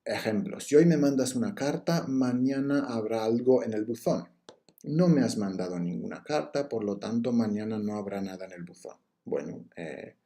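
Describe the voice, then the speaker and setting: A man's voice: lowish voice, dark tone. A man giving a recorded university lecture on logic, explaining step by step with frequent fillers and restarts.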